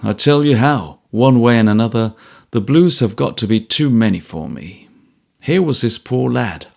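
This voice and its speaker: A man as a troubled, gruff ex-soldier. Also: mid-range voice, lively intonation. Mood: earnest. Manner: earnest, apologetic, halting.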